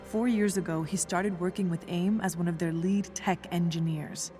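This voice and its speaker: A woman's voice: lowish voice, fairly even intonation, moderate pace, over music, lightly acted. A woman voicing a tough, smart young scientist in a video game, dry and matter-of-fact. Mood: businesslike. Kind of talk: video game voice acting, naturalistic dialogue.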